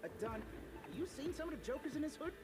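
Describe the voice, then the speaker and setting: A man's voice: extremely high pitch, lively intonation, fast, over loud music. An actor voicing a hot-headed young male mutant turtle in a video game, brash, sarcastic and streetwise.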